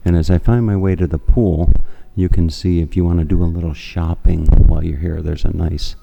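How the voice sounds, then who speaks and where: A man giving an upbeat, chatty walking tour of a hotel, often pausing with "uh" and repeating himself. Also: sits low, fairly even intonation, gravelly, warm tone, low-quality recording. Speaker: travel vlogger touring a hotel. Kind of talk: casual walking tour narration. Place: hotel breakfast hall and lobby, indoors.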